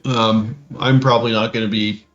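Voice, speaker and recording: Bit muffled guy co-hosting a game podcast, kinda noncommittal, quiet music under him. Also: fairly low pitch, level tone, moderate pace. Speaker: podcast co-host. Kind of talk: casual discussion of tabletop games.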